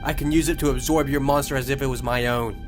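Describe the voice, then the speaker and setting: A man's voice: medium pitch, steady tone, quick pace, loud music behind the voice, lightly acted. Man voicing a menacing, sneering villain duelist. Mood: sinister, gloating. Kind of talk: fan audio drama acting.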